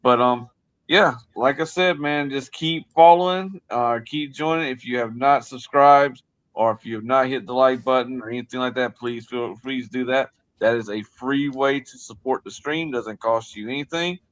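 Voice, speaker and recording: A man's voice: mid-range voice, normal speed, fairly bright tone. Rambling male sports show co-host. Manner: rambling, hesitant phrasing. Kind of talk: casual, unscripted livestream chat.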